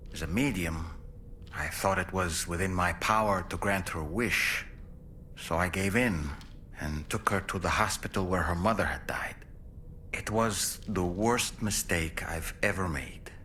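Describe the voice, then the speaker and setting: An actor playing a sorrowful father, a medium trapped inside a doll, telling his story slowly and solemnly in a video game cutscene. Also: low voice, bright, forceful tone, a little hiss or hum. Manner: slow, solemn, reflective storytelling.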